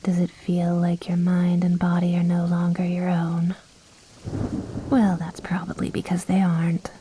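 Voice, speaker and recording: A commanding vampire mistress, played by a woman for an ASMR roleplay, speaks slowly and close in a low-pitched, even murmur. Her breathy whisper is haughty and coaxing, with a possessive, seductive menace. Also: a little hiss or hum, lightly acted. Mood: seductive, controlling.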